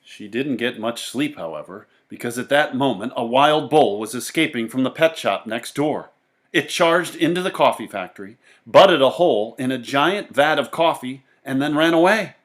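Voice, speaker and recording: A man reading a children's story aloud in a theatrical, expressive way. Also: mid-range voice, moderate pace. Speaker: storybook reader. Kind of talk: animated children's story narration.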